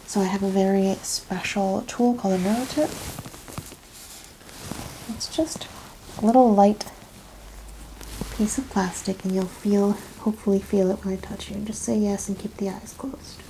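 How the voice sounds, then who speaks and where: A soft-spoken woman doing an ASMR clinical exam roleplay, giving step-by-step instructions and often dropping into whispers. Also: medium pitch, fairly even intonation, moderate pace, long pauses, rough recording. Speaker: ASMR performer playing a medical examiner. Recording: clear background noise and ambience.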